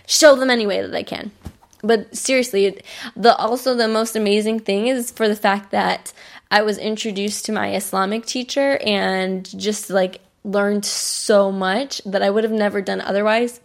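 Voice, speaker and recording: A woman's voice: medium pitch, steady tone, normal speed, creaky. A young American woman hosting a podcast, telling a personal story in a bubbly, chatty way with frequent laughs. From United States. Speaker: podcast host.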